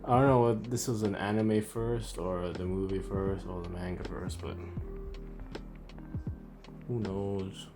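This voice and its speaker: Male reviewer, fairly deep voice, informal and offhand, rambling chat over a music bed. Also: steady tone, measured pace, long pauses. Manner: informal, offhand, thinking aloud with mild swearing. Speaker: host of a toy figure review channel. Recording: music under the voice. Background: background music.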